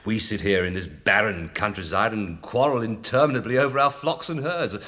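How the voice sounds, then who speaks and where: An actor playing a timid, apologetic parish priest in a radio play, hesitant and rambling, easily flustered. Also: male voice, on the low side, a little fast, pushed and bright. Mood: eager, rambling. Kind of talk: radio drama acting.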